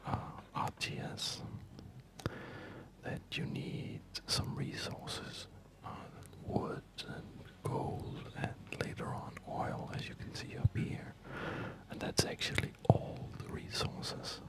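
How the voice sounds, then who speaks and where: An ASMR host whispering an unhurried, hesitant commentary while playing a strategy game. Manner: hesitant, unhurried, softly rambling.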